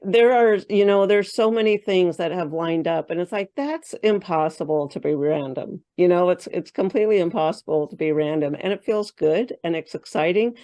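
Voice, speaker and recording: A woman's voice: lowish voice, moderate pace, few pauses, mellow. A woman who is a spiritual coach, chatting as a guest in an interview and thinking aloud with frequent fillers and restarts. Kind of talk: casual interview chat.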